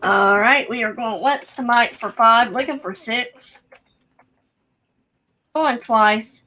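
Woman in her thirties, higher voice, brisk auction calls, plain descriptions, cluttered home room, webcam. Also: bright, forceful tone. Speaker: online auction co-host. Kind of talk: live-stream clothing auction.

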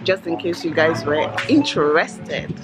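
A woman's voice: low voice, lively intonation, unhurried. A woman with a Nigerian accent, chatting informally as she narrates a casual vlog. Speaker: vlogger, host. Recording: loud background music. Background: music.

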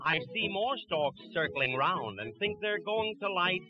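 A man's voice: sits high, very animated, unhurried. A man playing a breezy bearer of bad news, with theatrical patter on a muffled 1920s comedy record.

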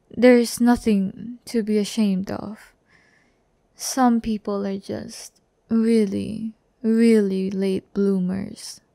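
Slow, soft-toned teasing demon girl played by a woman. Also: medium pitch, no echo, clean studio sound. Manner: breathy, unhurried, teasing, often dropping to a whisper. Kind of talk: intimate ASMR audio roleplay. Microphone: close-up microphone.

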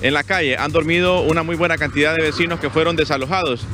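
A man's voice: on the high side, fairly even intonation, quick pace, music in the background. A man reporting live news from a roadside, hesitant with frequent fillers, on a handheld mic. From Honduras. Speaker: field reporter. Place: outdoors on a roadside.

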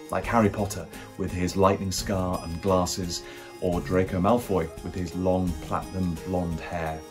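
A middle-aged British man presenting a behind-the-scenes theatre video to camera. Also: deep voice. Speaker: cast member presenting.

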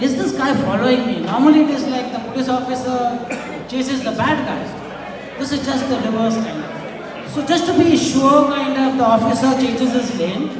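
A young man from Goa with a Goan Indian English accent, doing live stand-up comedy in a chatty storytelling way, often checking in with the crowd. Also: very high-pitched. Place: large community hall stage. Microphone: handheld microphone through hall speakers. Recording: echoey hall, taken from far off with crowd. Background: audience laughing and cheering.

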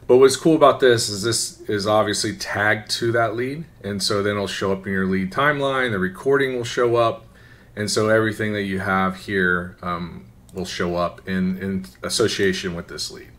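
A man giving an informal, conversational software tutorial, often checking in with a quick "right?". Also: fairly low pitch, fairly even intonation. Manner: conversational, instructional, often tagging phrases with "right?". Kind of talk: informal screen-share walkthrough. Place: voice-over for a screen recording.

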